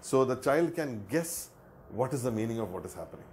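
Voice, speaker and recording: A man's voice: medium pitch, very animated, brisk, a little mellow, a little hiss or hum. A man explaining a learning method in an earnest way, repeating words for emphasis. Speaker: education presenter. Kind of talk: explanatory talk.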